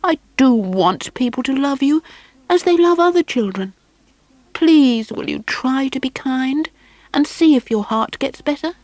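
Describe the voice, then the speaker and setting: A woman voicing an anxious, loving mother in a storybook, high and pleading. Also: lively intonation, unhurried, a little mellow, no echo, rough recording.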